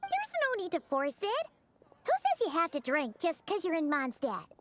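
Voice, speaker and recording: A woman's voice: extremely high pitch, wildly swooping pitch, normal speed, a little hiss or hum, exaggerated voice. A woman voicing a tiny, childlike, eager fairy companion in a video game, with a bubbly manner. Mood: curious, friendly. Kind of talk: video game character dialogue. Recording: music playing behind.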